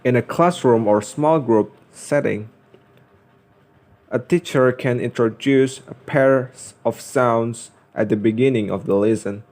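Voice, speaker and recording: Slow, halting young man reading a lesson. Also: medium pitch, a little mellow. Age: young adult. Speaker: student presenter. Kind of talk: scripted lesson narration.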